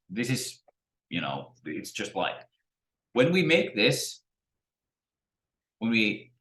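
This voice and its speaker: A middle-aged man, teaching in a halting, musing way with many restarts, talking to a webcam at his desk. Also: medium pitch, a bit bright. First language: Finnish. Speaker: spiritual teacher. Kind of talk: unscripted talk to camera. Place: small room at home, indoors. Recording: roomy echo.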